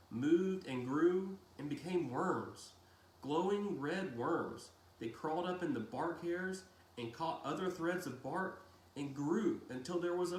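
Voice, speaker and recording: A man narrates a novel in a slightly high voice. He takes his time, phrasing dramatically with deliberate pauses. The room adds a clear echo to his reading. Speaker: audiobook-style reader. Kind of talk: expressive storybook narration of a novel. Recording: roomy echo with faint hiss.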